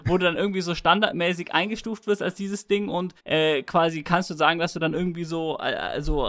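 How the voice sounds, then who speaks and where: A man's voice: a little high, fairly even intonation, a little fast, a bit bright. A man from Germany co-hosting a casual podcast, asking questions in a hesitant way and often repeating words. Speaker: podcast co-host.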